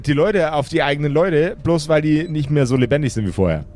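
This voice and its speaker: A man's voice: fairly high pitch, brisk, darkish tone, a little hiss or hum, lightly acted. A man voicing a brash, grumbling necromancer boss in an improvised tabletop audio play, slangy and coarse, rambling with stammered repetitions. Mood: gruff salesman.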